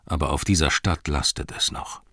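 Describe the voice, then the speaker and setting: A grave old wizard of a fantasy audiobook, played by a male reader. He is low-pitched, weary and commanding, weighing his words slowly. The sound is dry and close. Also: bright, forceful tone, no echo, exaggerated voice. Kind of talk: fantasy audiobook character reading.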